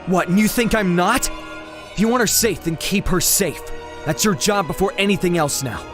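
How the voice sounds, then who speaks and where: A man's voice: sits high, moderate pace, over music, natural voice. A man playing a loyal, hot-tempered young man in an audio drama, speaking in a casual, slangy way. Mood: defensive. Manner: casual, slangy first-person storytelling. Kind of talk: audio drama narration and dialogue.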